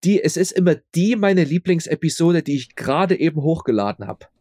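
A man answering casually as an interview guest, explaining haltingly and often repeating his words. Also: fairly high pitch, very animated, dark tone, slightly rough, no echo, clean studio sound. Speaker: audio drama maker being interviewed.

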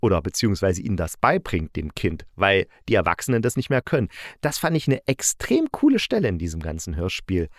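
A man's voice: deep voice, very animated, fairly quick, no room sound, clean studio sound. A man hosting a podcast, reviewing in a casual, unscripted, enthusiastic and colloquial way and often correcting himself. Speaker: podcast host. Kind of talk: casual spoken audio drama review, unscripted.

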